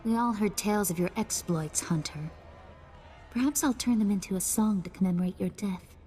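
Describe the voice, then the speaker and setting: A woman's voice: mid-range voice, moderate pace, mellow, lightly acted. An actress playing a sardonic, menacing female warrior in a video game, cool and mocking. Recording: long hall-like reverb.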